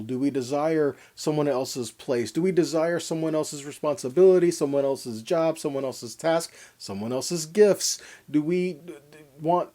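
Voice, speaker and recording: A man's voice: on the high side, dark tone. A man teaching a scripture lesson in a sermon style, reading verses with emphasis and putting rhetorical questions to his listeners. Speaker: Bible teacher giving a weekly Torah portion lesson.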